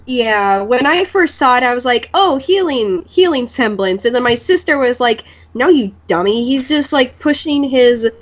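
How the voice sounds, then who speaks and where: A woman with a fairly high voice chats informally about a show. She speaks in a casual, conversational way, as one fan to others. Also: animated, moderate pace, hardly any pauses.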